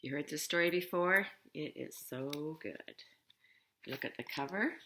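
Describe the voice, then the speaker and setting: A woman's voice: low-pitched, measured pace, a little hiss or hum. A woman reading a storybook aloud expressively, with chatty asides for young listeners. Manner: expressive, dramatic emphasis on story lines. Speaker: picture book reader.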